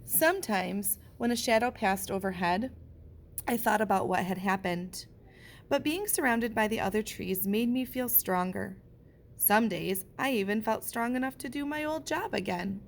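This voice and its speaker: A woman, a teacher, reading a picture book aloud and pausing to point out what the pictures show. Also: mid-range voice, gravelly, a little hiss or hum. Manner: gentle, slow storybook delivery with pauses.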